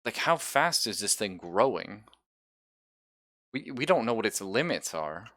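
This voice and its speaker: A man's voice: fairly low pitch, sing-song, measured pace, pushed and bright, dry recording, studio quality. A man running a tabletop roleplaying game as game master, casual and informal, thinking aloud and swearing freely.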